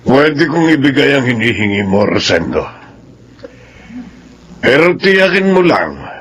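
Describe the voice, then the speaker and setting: An actor playing a stern, measured family patriarch in a Filipino radio drama. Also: male voice, mid-range voice, sing-song, a bit bright, a little hiss or hum. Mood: stern, warning.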